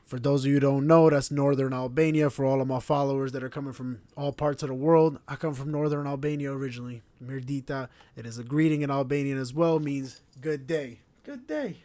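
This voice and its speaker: A man, hosting a podcast in a relaxed, proud monologue to camera. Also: mid-range voice, brisk, hardly any pauses, no echo. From born in Slovenia, raised in Connecticut. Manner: relaxed, proud, repetitive phrasing. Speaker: podcast host. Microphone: camera mic.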